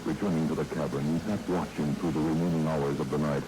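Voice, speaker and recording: A man's voice: a bass voice, steady tone, fast. A man narrating an old-time radio drama in a scripted, dramatic storytelling style, with noise and rain over the recording. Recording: noisy vintage radio, rain sounds.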